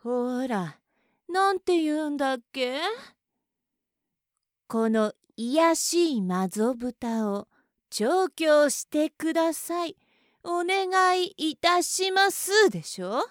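A woman's voice: high voice, very animated, a little slow, dry recording, studio quality. A woman acting a contemptuous, domineering young woman in an adult audio roleplay, mocking and bossy. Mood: scornful.

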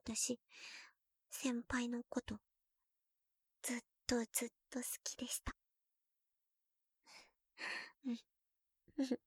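A young woman playing a teasing, shy girlfriend who is junior to the listener, in an ASMR roleplay, talking playfully and dropping into soft whispers. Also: on the high side, unhurried, husky, no echo, studio quality. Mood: whispering tender.

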